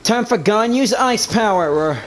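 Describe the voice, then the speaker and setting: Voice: mid-range voice, animated, a little slow, a little hiss or hum. A gamer giving casual commentary over a playthrough, sometimes reading dialogue aloud.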